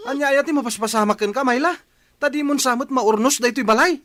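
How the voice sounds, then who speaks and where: A man's voice: very high-pitched, fast, fairly bright tone, no room sound. A man playing a grumbling, fault-finding village husband in a comedy radio drama, nagging and complaining. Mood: irritated.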